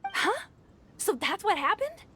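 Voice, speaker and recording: A woman's voice: very high-pitched, very animated, slow pace, bright, forceful tone, full character voice. An actress playing a polite, helpful young woman of a ship's crew in a game, explaining things courteously. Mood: surprised. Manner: courteous, expository. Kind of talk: scripted game voice acting. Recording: noisy game capture.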